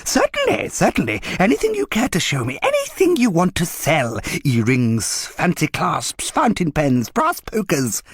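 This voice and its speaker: A wheedling old junk dealer acted by a male narrator from a storybook reading. The voice climbs high and swings about in a sibilant, coaxing chant, with a dry, close sound. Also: huge pitch swings, measured pace, few pauses, slightly rough, no echo, full character voice. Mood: coaxing, eager. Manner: coaxing, sing-song patter, rattling off lists.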